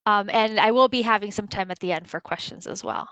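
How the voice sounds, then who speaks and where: A woman's voice: mid-range voice, brisk, fairly bright tone, no room sound, rough recording. A woman in her thirties from Costa Rica, giving a friendly webinar presentation with frequent fillers.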